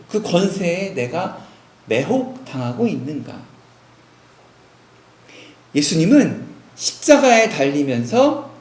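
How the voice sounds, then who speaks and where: A man's voice: high-pitched, a little mellow, echoey room. A male pastor preaching a sermon, posing rhetorical questions to his congregation. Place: church sanctuary.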